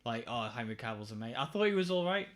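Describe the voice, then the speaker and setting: A man's voice: mid-range voice, sing-song, fast, fairly bright tone. A man chatting casually as a podcast co-host, informal and ready to argue his opinion. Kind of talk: casual film chat among friends.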